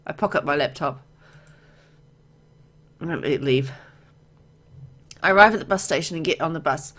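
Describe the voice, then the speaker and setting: Low-voiced woman reading a game story aloud. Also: normal speed, a bit bright. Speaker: gamer reading a visual novel aloud. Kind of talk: let's play narration, reading game text aloud with casual asides.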